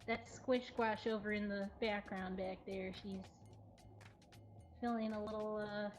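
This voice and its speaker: A woman's voice: mid-range voice, flat delivery, unhurried. A woman hosting a casual, unscripted livestream in a cat cafe, talking in a chatty, giggly way. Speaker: cat cafe host presenting adoptable cats. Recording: dull lo-fi livestream audio with music. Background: faint music.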